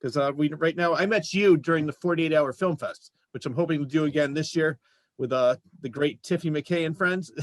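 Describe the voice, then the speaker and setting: Guy hosting a chat show, voice a bit high, dry sound, encouraging with guests. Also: fairly quick, dry recording. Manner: encouraging, complimentary. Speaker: show host, interviewer. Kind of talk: casual interview chat.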